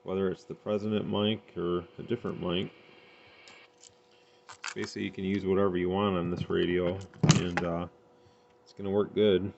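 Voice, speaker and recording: Male hobbyist reviewer, low creaky voice, tentative and informal. Muffled lo-fi home recording with faint noise. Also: flat delivery, moderate pace, long pauses. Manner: unpolished, tentative, thinking aloud. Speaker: CB radio hobbyist reviewing gear. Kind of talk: casual hands-on gear demonstration.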